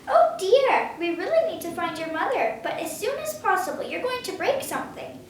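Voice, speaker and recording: A woman's voice: very high-pitched, big pitch swings, moderate pace, pitched above the performer's own voice. A ten-year-old girl voicing a fussy, grumbling but kind little male animal in a storybook, exclaiming and scolding. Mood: annoyed, scolding. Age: child, about ten. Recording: echoey room, distant mic, faint noise.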